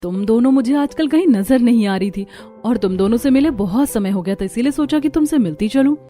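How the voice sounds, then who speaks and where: Cartoon young housewife, kind, earnest, high voice female narrator acting her, music underneath. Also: lively intonation, a little fast, mellow, lightly acted. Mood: friendly, curious.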